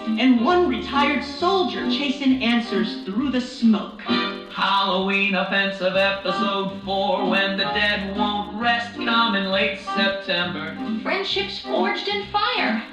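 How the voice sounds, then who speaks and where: A woman's voice: a little high, animated, slow pace. A woman playing a solemn trailer narrator, reading a scripted dramatic promo in a portentous, ominous manner. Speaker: trailer announcer. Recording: very echoey, distant, loud music bed. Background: loud music with guitar.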